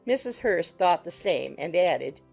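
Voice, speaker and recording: A woman's voice: fairly low pitch, lively intonation, a little slow, creaky, darkish tone. A woman reading scripted literary narration as an audiobook narrator. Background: music.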